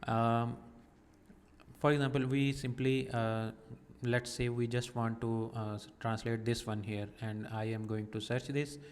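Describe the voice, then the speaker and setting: Man for a software walkthrough: slow, halting, methodical delivery with lots of pauses; flat, smooth voice with a long reverb tail. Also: medium pitch. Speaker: software tutorial presenter. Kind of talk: screen-recorded software walkthrough.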